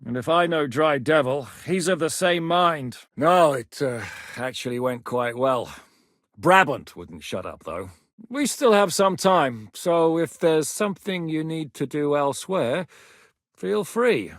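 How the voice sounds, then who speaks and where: A battle-hardened rebel commander, lively, animated delivery, game voice work. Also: male voice, a little high, a little slow, dry recording, clean studio sound. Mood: plain. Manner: commanding, blunt, bellows battle orders. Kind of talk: game voice acting.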